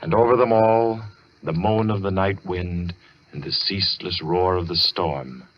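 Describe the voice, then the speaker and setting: An actor playing a solemn, ominous storyteller, narrating a radio suspense tale in a dramatic, deliberate manner. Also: male voice, low-pitched, slight background noise. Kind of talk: old-time radio scripted narration. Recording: muffled vintage radio recording with music.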